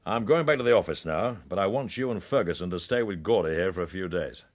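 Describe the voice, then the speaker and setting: A very low-pitched commanding spy boss from a radio crime drama. He speaks with measured authority and a steady undertone of menace and suspicion. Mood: measured threat.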